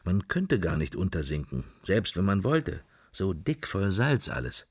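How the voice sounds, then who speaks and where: An actor playing a musing, idly drifting man in a radio drama, thinking to himself in husky, fragmentary phrases. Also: low-pitched, big pitch swings, unhurried, no echo, clean studio sound, heavily acted. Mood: pondering. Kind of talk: interior monologue in a radio drama.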